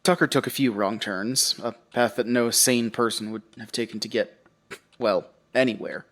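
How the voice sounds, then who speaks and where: An actor playing a weary, uneasy survivor who tells his story in a halting, confessional way for an audio drama. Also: medium pitch, fairly even intonation, a bit bright, lightly acted. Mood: weary, reflective. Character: weary, uneasy male survivor recounting a horror ordeal. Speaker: narrator and lead character. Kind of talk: audio drama first-person narration.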